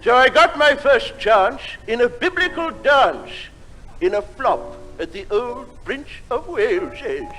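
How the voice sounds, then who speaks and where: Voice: mid-range voice, big pitch swings, slow pace, a bit bright, rough recording. A performer delivering a comic song in a theatrical storytelling manner on a live stage. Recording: 1950s live theatre recording with music.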